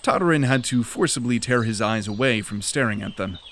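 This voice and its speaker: A man narrating a fantasy audio drama in a measured, dramatic way. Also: mid-range voice, a bit bright, a little hiss or hum.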